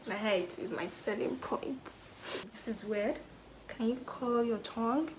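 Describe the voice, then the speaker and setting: A young Nigerian woman answering get-to-know-me questions in a casual vlog, thoughtful, pausing often and sometimes dropping to a whisper. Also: on the high side, slight background noise, poor audio. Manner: thoughtful, pauses with "hmm" and "um", drops to a soft whisper at times. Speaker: vlogger.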